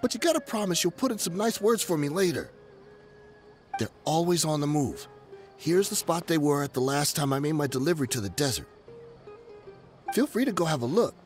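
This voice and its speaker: A man's voice: a little high, very animated, soft background music. An actor playing a nervous male smuggler who pleads and bargains when cornered, in a game cutscene. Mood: pleading. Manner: pleading, bargaining, quick to back down.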